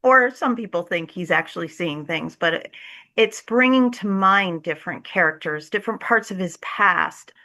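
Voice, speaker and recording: A woman with a bright, forward voice pitched a little low. She talks conversationally as a theatre director being interviewed, earnest and enthusiastic about her work. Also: expressive, moderate pace.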